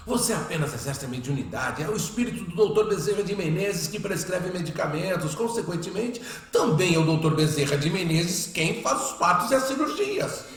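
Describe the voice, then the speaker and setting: Man acting an animated, high-voiced devout father. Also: fairly quick, hardly any pauses. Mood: indignant, worried. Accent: Brazilian. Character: devout, indignant father of a Spiritist healer. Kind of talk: radio drama acting. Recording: roomy echo, music underneath.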